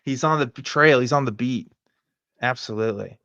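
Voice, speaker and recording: A man's voice: mid-range voice, normal speed, bright, forceful tone, no echo, highs cut off. A man hosting a live show, chatting casually and joking in a sarcastic manner. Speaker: host of a live weekly show. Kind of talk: casual, joking commentary chat.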